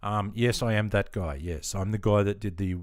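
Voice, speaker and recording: A man's voice: fairly low pitch, steady tone, normal speed, no room sound, studio quality. A middle-aged Australian man chatting casually on a live stream, easygoing and full of ums, answering viewers and calling them mate. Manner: easygoing and chatty, filler-heavy, keeps calling people mate.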